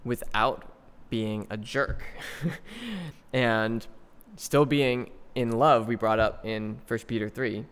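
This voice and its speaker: A man co-hosting a casual podcast, quick with a joke and earnest when he explains his view. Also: mid-range voice, a bit bright, clean tone, big-room sound. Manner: joking banter, then earnest explaining. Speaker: podcast co-host.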